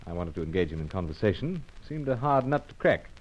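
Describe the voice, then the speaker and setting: An actor playing a suave, unflappable secret agent, clipped understatement and dry wit, in old-time radio adventure style. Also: male voice, low voice, big pitch swings, fairly quick, a little mellow. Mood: brisk, matter-of-fact. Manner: clipped, telegraphic, nonchalant understatement. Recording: dull, muffled old radio recording.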